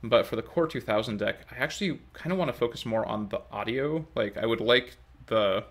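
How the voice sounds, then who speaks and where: A man's voice: medium pitch, a little fast. A man in his thirties giving a casual, unscripted update to camera at home, rambling a little and hesitant as he thinks aloud. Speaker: language-learning vlogger. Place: open kitchen and dining room at home, indoors.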